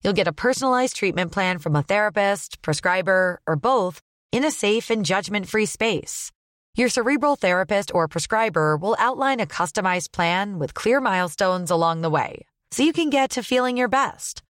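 Woman, advert voice-over, scripted promotional read, medium pitch, dry studio-close sound. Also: animated, moderate pace, dry recording.

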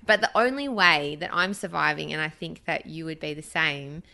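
A woman's voice: sits low, lively intonation, normal speed, gravelly. A woman, a former teacher co-hosting a podcast, chatting supportively and laughing often. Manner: supportive, laughs often, says she has a loud voice. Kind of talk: casual chat.

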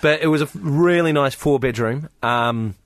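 A man's voice: fairly high pitch, moderate pace, no room sound. Kiwi male comic, easygoing anecdote teller, dead dry recording. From New Zealand. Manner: laid-back storytelling. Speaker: comedian guest. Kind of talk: casual chat.

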